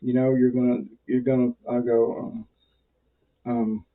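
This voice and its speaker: A man's voice: mid-range voice, monotone, measured pace, narrow, tinny audio. An American man giving an informal tutorial as an instructor, talking in a rambling, conversational, self-deprecating way. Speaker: amateur radio instructor.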